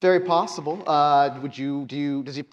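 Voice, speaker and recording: A man's voice: fairly high pitch, a little fast, muffled recording. Man narrating as game master. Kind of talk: narrating a live tabletop roleplaying game.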